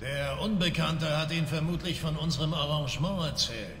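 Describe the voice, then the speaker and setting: An actor playing a hard, cynical middle-aged bounty hunter in a video game, blunt and callous. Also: male voice, fairly high pitch, brisk, a bit bright. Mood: businesslike. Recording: echoey hall with faint noise.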